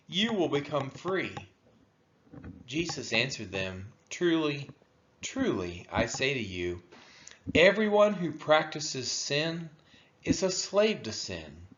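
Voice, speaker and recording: A man reading scripture aloud in a solemn style, with deliberate, emphatic phrasing and a dull, lo-fi sound. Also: on the high side, a bit bright, a little hiss or hum. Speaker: scripture reader.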